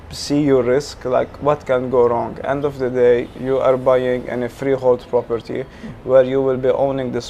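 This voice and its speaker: Flat-voiced man with a Lebanese accent. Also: mid-range voice. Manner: frequent fillers, earnest, talkative. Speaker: real estate businessman, guest. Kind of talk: casual interview. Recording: faint ambient noise.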